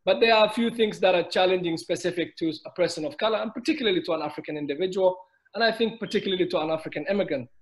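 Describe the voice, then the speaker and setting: A man born in Kenya, speaking as a business owner on a webinar panel, measured, with many restarts and repeated words. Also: sits high. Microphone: video call.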